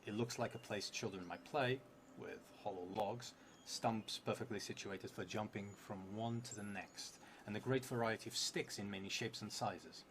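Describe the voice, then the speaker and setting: A man's voice: on the low side, a little hiss or hum. A man in his thirties narrating a solo tabletop role-playing game on a stream, reading prompts aloud and musing to himself. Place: indoor streaming setup in a theatre venue.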